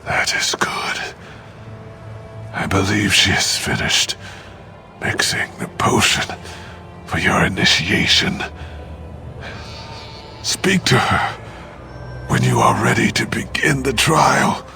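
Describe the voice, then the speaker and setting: Gravelly dying old chief. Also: male voice, lowish voice, huge pitch swings, slow pace, long pauses, sharp tone, faint music behind, full character voice. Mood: solemn, faltering. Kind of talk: video game character acting.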